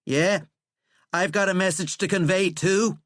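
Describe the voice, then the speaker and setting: A man's voice: fairly low pitch, flat delivery, brisk, a bit bright, smooth, no echo, clean studio sound, close to an everyday voice. A man voicing a furious, petulant, self-important American president in an audiobook, blustering and defensive. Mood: defiant. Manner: blustering, defensive, prone to screeching. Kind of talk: audiobook character acting.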